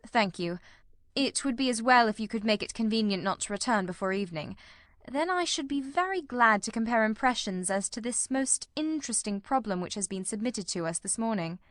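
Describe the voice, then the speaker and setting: A woman voicing a brisk, dryly witty male detective in a Victorian story, with precise, commanding, formal speech. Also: a little high, animated, fairly bright tone, no echo, clean studio sound. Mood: dry, genial. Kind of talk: dramatised reading of a Victorian detective novel.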